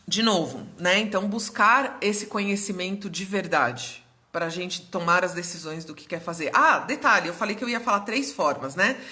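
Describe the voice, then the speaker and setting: Woman, young adult, mentor host on a live stream; bright tone, a bit hoarse, chatty and explanatory, keeps checking in with viewers. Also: lowish voice, moderate pace, hardly any pauses. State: slightly hoarse.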